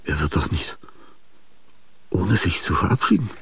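A man narrating and speaking in a radio drama, with a gravelly voice and a weary, half-whispered inner monologue. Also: deep voice, big pitch swings, unhurried, fairly bright tone, slight background noise. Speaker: narrator and protagonist.

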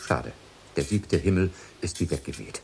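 An actor playing a dreamy, amorous ship's captain in a German radio drama, savouring words slowly and often murmuring. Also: male voice, sits low, expressive, creaky, darkish tone, slight background noise. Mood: wondering, drowsy. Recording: music under the voice.

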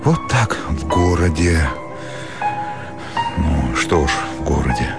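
A slow, brooding, weary country doctor. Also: male voice, a bass voice, lively intonation, over loud music, heavily acted. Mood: self-doubting. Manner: slow musing, broken phrases, talking to himself. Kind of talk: radio play inner monologue.

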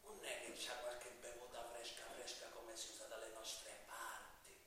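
A man's voice: mid-range voice, completely flat delivery, normal speed, lightly acted. An actor playing a jailed mafia killer in a theatrical stage monologue, sly, mocking and menacing as he wheedles a judge, moving between murmurs and outbursts. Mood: taunting. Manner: mocking, insinuating, swinging from hushed murmurs to loud outbursts. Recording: very echoey, distant, hissy live stage recording.

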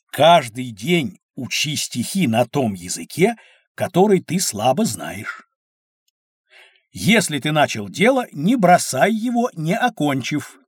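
A learned, patient storyteller for a children's educational audio play. The voice is fairly high and husky, with very varied intonation as it explains. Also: male voice, no room sound, studio quality, full character voice. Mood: solemn. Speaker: narrator-teacher.

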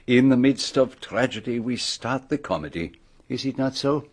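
Polite shrewd older belgian detective, french accent, animated pitch, bit husky, radio play. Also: male voice. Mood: wry, amused. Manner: formal, measured, precise. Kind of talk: radio drama acting.

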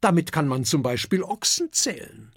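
Narrator doing a tiny fire dragon kid, highish voice, polite, timid but clever, storybook. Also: big pitch swings, normal speed, creaky, husky, dry recording, clean studio sound, heavily acted. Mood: helpful, explaining. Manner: polite, explaining.

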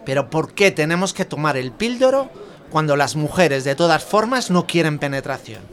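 A man's voice: fairly high pitch, big pitch swings, moderate pace, a bit bright. An actor with an accent from Spain playing a thoughtful, outspoken man who confides intimately in a friend, reflective and hesitant, repeating words. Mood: earnest. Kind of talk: Spanish audio fiction dialogue.

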